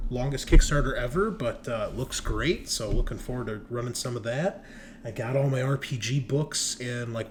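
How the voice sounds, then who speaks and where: A man hosting in a casual, enthusiastic way, chatting in slang with plenty of swearing. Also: medium pitch. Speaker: host and game master. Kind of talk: casual chat. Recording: noticeable background noise.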